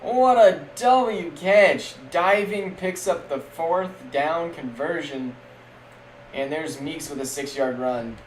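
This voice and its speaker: A young man streaming casual, jokey play-by-play of a simulated football league, getting loud on big plays. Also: a little high, takes it slowly. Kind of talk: live-stream game commentary. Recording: echoey room with faint background noise.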